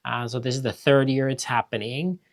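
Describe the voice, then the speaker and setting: A man's voice: mid-range voice, brisk. Chatty Finnish-born man hosting a vlog. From Finland. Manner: relaxed, chatty, with fillers. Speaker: vlog host. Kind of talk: casual chat.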